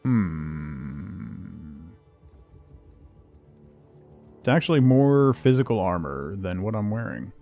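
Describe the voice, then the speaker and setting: A man's voice: sits low, big pitch swings, takes it slowly, creaky, dark tone, soft background music. A man chatting casually as he livestreams a game, muttering asides to himself and his viewers. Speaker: game streamer. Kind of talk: casual livestream gameplay chat.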